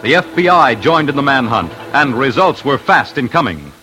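A man's voice: mid-range voice, sing-song, moderate pace, bright, forceful tone, a little hiss or hum. A man narrating an old-time radio crime documentary in a dramatic 1940s style.

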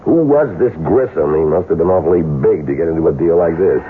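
Muffled old radio play, a stubborn cornered schemer, super deep voice, halting and hesitant. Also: male voice, animated. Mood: agitated, desperate. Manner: halting, hesitant, repeating words. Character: cornered, scheming male impostor, evasive and stubborn. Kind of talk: old-time radio drama acting. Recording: muffled, noisy 1970s radio recording.

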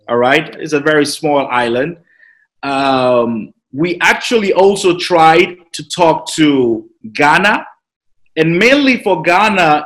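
A man with a Nigerian accent, a citizenship consultant explaining things patiently in an interview, with many fillers and repeated words. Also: a little high. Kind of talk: interview answer.

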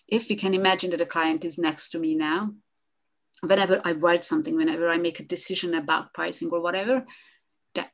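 Gravelly-voiced woman, animated. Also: fairly low pitch. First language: Hungarian. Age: middle-aged. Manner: animated, with frequent restarts and repeated words. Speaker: guest, marketing consultant. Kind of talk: conversational podcast interview.

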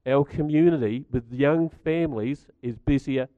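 Croaky man giving a talk. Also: mid-range voice, moderate pace, no room sound, narrow, tinny audio. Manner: chatty, stats-driven, asks the audience questions. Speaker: speaker at a community gathering. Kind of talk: talk to a live audience.

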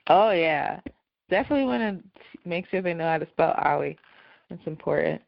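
A woman podcast co-host chats casually in a lowish voice with plenty of fry. Her delivery is dry. The recording is tight and free of room sound, a bit dull. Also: expressive, brisk, no echo. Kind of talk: casual chat.

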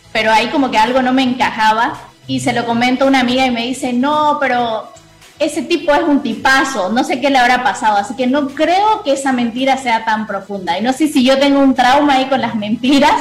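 A woman co-host chatting casually and sharing a personal anecdote in a quick, confiding way. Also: high-pitched, echoey room, poor audio. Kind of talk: casual chat.

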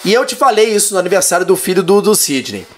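A man's voice: high voice, fast. A man, a lawyer chatting as a podcast guest and telling jokey stories. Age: 30s. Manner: animated, jokey storyteller. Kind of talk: casual chat.

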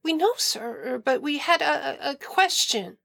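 Woman as young female student, high pitch, unhurried, hesitant but determined, audio drama. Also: very animated, vocal fry, pushed and bright, full character voice. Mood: nervous. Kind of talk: audio fiction acting.

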